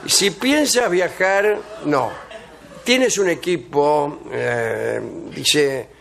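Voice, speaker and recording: A man's voice: on the high side, extremely slow, creaky, crowd noise. A man hosting a comedy radio show, reading out a travel guide with dry sarcastic asides.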